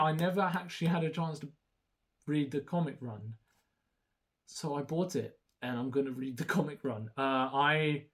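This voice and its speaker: A young man whose first language is Romanian, talking casually and hesitantly through a haul with many ums and restarts. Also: on the high side. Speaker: YouTuber showing a haul.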